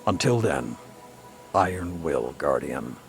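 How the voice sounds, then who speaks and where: An actor playing a stern, battle-hardened older warlord in a video game, speaking in a solemn, measured, commanding way. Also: male voice, a bass voice, big pitch swings, vocal fry, soft background music, heavily acted. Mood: grave, reflective. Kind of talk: dramatic video game acting.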